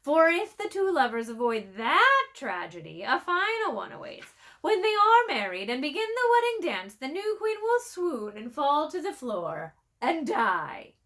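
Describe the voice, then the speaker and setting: A woman's voice: high voice, wildly swooping pitch, a bit bright, pitched above the performer's own voice, heavily acted. Woman voicing a morose raven foretelling doom. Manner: gloomy, deliberate, ominous. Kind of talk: storybook read-aloud.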